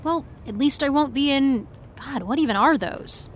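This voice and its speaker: An actress as an anxious teenage girl with a fairly high voice, glum and self-dramatising. Her complaints come out sarcastic and rambling in naturalistic audio drama style, over faint ambient vehicle noise. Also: moderate pace, close to an everyday voice. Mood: sullen. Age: young adult. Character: anxious, self-dramatising, miserable eighteen-year-old girl fan.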